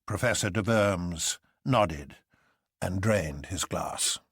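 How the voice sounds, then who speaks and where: A man's voice: sits low, takes it slowly, fairly bright tone, breathy edge, dry recording, studio quality. A man narrating a story expressively from a script, as a storyteller reading dramatic fiction.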